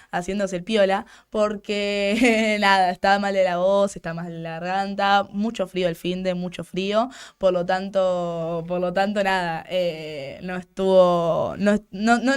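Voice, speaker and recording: A woman's voice: mid-range voice, smooth. A young woman with an Argentine accent hosting a radio talk show, giving outspoken political commentary and often repeating words as she restarts. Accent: Argentine Spanish. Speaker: radio host. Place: radio studio.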